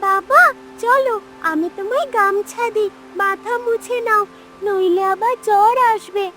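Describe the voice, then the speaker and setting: A woman's voice: takes it slowly, loud music behind the voice. A woman voicing an eager, cheerful little village girl in a cartoon. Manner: high, excited child's chirp. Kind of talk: cartoon voice acting.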